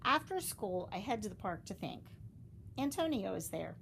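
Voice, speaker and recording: A woman reading a children's storybook aloud, expressively, with dramatic emphasis and deliberate pauses. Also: medium pitch, big pitch swings, gravelly, a bit bright, slight background noise. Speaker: storybook reader.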